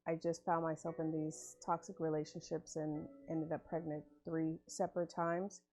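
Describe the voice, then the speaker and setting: A woman in her thirties speaks in a low, flat, mellow voice as she gives a quiet, earnest testimony. Faint music plays underneath. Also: normal speed. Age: 30s. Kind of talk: personal testimony.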